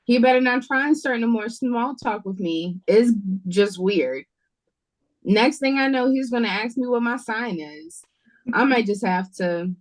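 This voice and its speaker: A woman's voice: fairly high pitch, lively intonation, measured pace, poor audio. A woman playing a frazzled, sassy waitress in an audio drama read-through over a video call. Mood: urgent, exasperated. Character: frazzled, snappy young waitress on a night shift.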